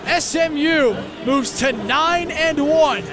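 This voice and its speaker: A young American man doing live radio play-by-play of a football game as a student broadcaster, excitable and given to shouting at big plays. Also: very high-pitched, takes it slowly, music in the background. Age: young adult. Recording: loud stadium and outdoor noise behind.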